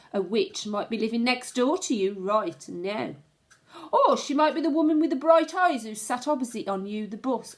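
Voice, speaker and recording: A woman teacher reading a storybook aloud to children in a dramatic, suspenseful way, with asides to her pupils. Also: fairly high pitch. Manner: expressive, suspenseful, deliberate storytelling.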